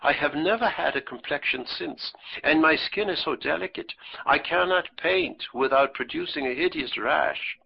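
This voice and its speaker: A man's voice: fairly high pitch, moderate pace, gravelly, muffled recording, a little hiss or hum, pitched above the performer's own voice. A man doing a proud, impatient mysterious widow. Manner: clipped, earnest, formal old-fashioned speech. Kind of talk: audiobook character reading.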